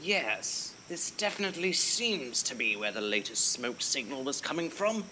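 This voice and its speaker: A man's voice: mid-range voice, very animated, normal speed, background noise, natural voice. A man playing a bumbling adventurer hero in an audio story, thinking aloud theatrically with quaint exclamations. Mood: puzzled.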